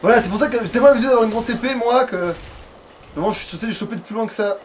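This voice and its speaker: A man's voice: extremely high pitch, very fast, slight background noise. A man chatting casually as he plays a video game, with crude slang and frequent swearing. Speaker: let's player at the controls. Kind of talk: casual gaming chat while playing.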